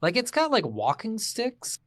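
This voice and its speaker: A man's voice: sits high, huge pitch swings, unhurried, a bit bright, dry recording. A man co-hosting a game podcast, chatting casually and bluntly with plenty of swearing and strong opinions. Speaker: podcast co-host reviewing video games. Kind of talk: casual unscripted chat recapping a game.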